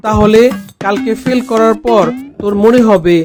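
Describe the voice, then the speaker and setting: A man's voice: sits high, normal speed, over music, natural voice. A man voicing a carefree, teasing young slacker brother in a cartoon comedy, smug and mock-consoling, with a Bangladeshi accent.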